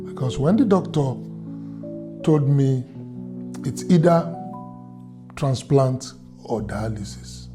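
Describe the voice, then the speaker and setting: A man from Nigeria telling his own story in a slow, halting, earnest way, with music under his voice. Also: medium pitch, expressive. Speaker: fundraiser subject. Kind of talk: personal testimony.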